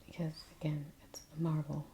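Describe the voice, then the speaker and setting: A woman speaking in a hushed, gentle, whispered ASMR style, murmuring casual painting commentary with long pauses, close to the microphone. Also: low-pitched, little pitch movement, slight background noise. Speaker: ASMR creator painting on camera.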